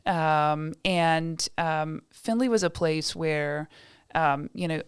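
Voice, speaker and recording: A woman's voice: sits low, slow, smooth, dry recording, clean studio sound. A woman from Indiana, a state development director, chatting as a guest on a podcast, with lots of fillers and restarts. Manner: chatty, many fillers and restarts, self-deprecating laughs. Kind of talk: casual interview talk.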